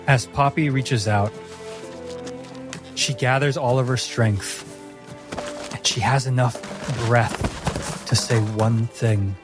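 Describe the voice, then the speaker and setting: A man narrating a dramatic tabletop roleplaying story as game master, measured and deliberate, with theatrical pauses. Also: mid-range voice, steady tone, over music.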